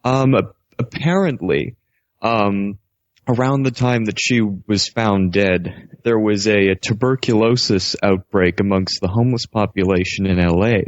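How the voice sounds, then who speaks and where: A man's voice: lowish voice, unhurried, no room sound. A man from Canada calling a radio show over the internet, explaining things in a casual, polite, tentative way. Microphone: internet voice call. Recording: dull, lo-fi internet call audio.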